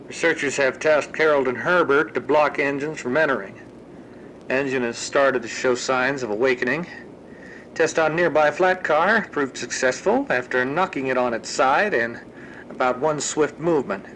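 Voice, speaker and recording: A man's voice: mid-range voice, normal speed, fairly bright tone, a little hiss or hum, close to an everyday voice, low-quality recording. A man playing a researcher who logs grim experiments in a terse, clinical way for a horror audio drama. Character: male researcher coolly logging grim monster experiments. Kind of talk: scripted audio log narration in horror fan drama.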